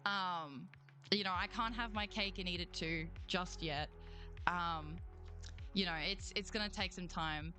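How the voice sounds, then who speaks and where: A young Australian woman chats and rants to her stream audience, swearing freely and swinging between gripes and cheer. Her voice is bright and forward, with a low bed of music behind her. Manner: swears freely, slangy, quick emotional swings. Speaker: streamer and voice actor. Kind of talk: casual livestream rant and chat reading.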